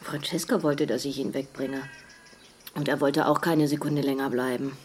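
A woman's voice: very deep voice, fairly even intonation, normal speed, slight background noise. An actress playing a tired, wry best friend in her forties in an audio drama, speaking in a dry, weary way. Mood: hungover, wry. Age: 40s.

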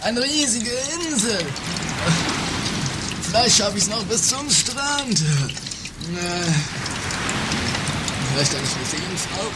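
An actor playing an exhausted male plane-crash castaway in an improvised radio play, halting and melodramatic. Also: medium pitch, very animated, very noisy. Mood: exhausted, despairing. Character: exhausted, gasping shipwrecked plane-crash survivor, a grown man.

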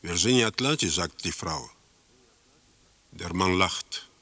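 An actor playing a detached narrator in a radio drama, describing eerie scenes in a measured, matter-of-fact way. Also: male voice, deep voice, lively intonation, bright, forceful tone, no echo, heavily acted. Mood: detached, ominous.

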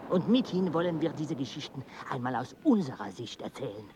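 Eager naturalist companion, urging storyteller with a lively voice, radio drama, played by a man, noisy ambience. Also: mid-range voice, brisk, lightly acted. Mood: earnest. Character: Bonpland, eager older male naturalist and companion. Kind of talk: radio play acting.

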